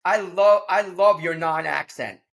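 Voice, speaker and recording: A man hosting a casual leadership conversation, upbeat and quick to laugh, often restarting his sentences. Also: sits high, creaky, a bit bright. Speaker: podcast host.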